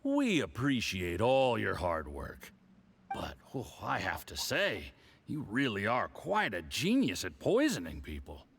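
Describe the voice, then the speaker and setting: A man's voice: lowish voice, huge pitch swings, a little slow, creaky, a bit bright, slightly rough, heavily acted. An actor playing a boastful, commanding male agent in a video game, with a hearty, condescending, theatrical manner. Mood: tipsy, admiring. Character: boastful, hard-drinking male foreign agent, smugly commanding.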